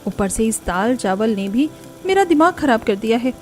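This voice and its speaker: A woman's voice: a little high, very animated, moderate pace, a little mellow, faint music behind. A woman voicing an overworked young daughter-in-law in a Hindi family cartoon. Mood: irritated. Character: young daughter-in-law, overworked, grumbling about kitchen chores.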